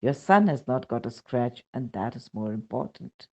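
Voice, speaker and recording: Female narrator, Indian accent, for a read spiritual testimony; husky, expressive intonation, dull lo-fi sound. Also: rumbling low, moderate pace. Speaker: reader of a devotional testimony. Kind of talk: scripted narration, reading aloud a first-person spiritual account.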